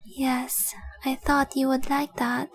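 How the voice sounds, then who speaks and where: A woman voicing a tender, possessive young dragon girl in love, speaking softly and breathily in an intimate roleplay audio drama. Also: high voice, flat delivery, slow pace, soft background music, full character voice. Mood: playful, bargaining. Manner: soft, breathy, lingering, adoring delivery.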